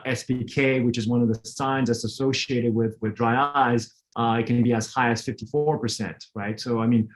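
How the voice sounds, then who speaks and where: Middle-aged guy from france giving a laid-back online eye-care talk, mid-pitched voice, keeps restarting his sentences. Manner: frequent fillers and self-restarts, conversational. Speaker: optometrist lecturer. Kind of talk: casual online lecture. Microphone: online webinar.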